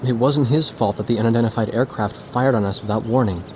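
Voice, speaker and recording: An actor playing a thoughtful war photojournalist, narrating past events in a measured, reflective way for a game. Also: male voice, mid-range voice, background noise, natural voice. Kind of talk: scripted cinematic narration.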